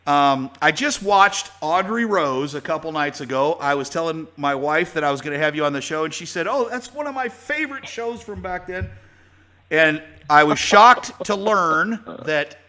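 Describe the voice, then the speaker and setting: A man's voice: a little high, expressive, moderate pace, pushed and bright. A man hosting his own radio show, chatting with a guest in a folksy way, full of fillers and restarts. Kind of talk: casual talk-radio interview.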